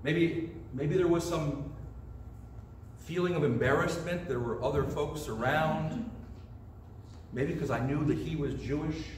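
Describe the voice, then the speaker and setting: A man's voice: on the high side, unhurried, long pauses, slight background noise. A middle-aged man preaching a storytelling sermon in a church sanctuary, gesturing with both hands as he speaks. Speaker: pastor. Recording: distant echoey sanctuary sound.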